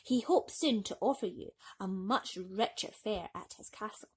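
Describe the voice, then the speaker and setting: A woman voicing a sly, courteous and bossy male cat servant in a storybook, smooth-talking and commanding. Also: mid-range voice, unhurried.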